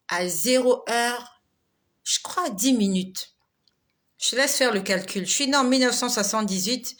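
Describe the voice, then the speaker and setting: Bright-voiced woman in her forties. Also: a little high. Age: 40s. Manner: exhorting, laughs often, chatty. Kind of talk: casual live devotional talk reading viewer comments.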